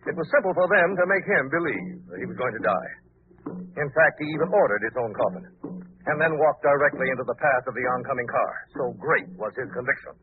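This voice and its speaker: An actor playing a prickly, pompous gentleman writer in a 1940s radio drama, formal, emphatic and theatrical. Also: medium pitch, lively intonation, quick pace, lightly acted. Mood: courteous, solemn. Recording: tinny old radio broadcast, faint noise.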